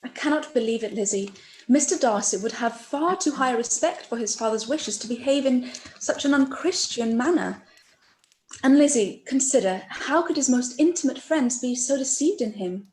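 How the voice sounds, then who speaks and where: A woman plays a trusting, reasonable elder sister in a period radio drama. Her voice is fairly high and earnest. The room is echoey, with faint noise. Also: animated, normal speed, a little mellow, lightly acted. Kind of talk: live-read period radio play acting.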